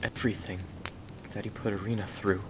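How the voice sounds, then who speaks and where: A man playing a gentle, devoted young Victorian gentleman husband in an ASMR roleplay, speaking earnestly and courteously. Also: fairly low pitch, level tone, brisk, noisy background, natural voice. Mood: earnest, sombre.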